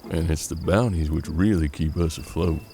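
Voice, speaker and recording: A man playing a seasoned, pragmatic bounty hunter in a western audio drama, with measured, folksy frontier talk. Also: very deep voice, mellow, slight background noise. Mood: measured, shrewd. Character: seasoned male bounty hunter detective of 1870s Kansas, steady, pragmatic.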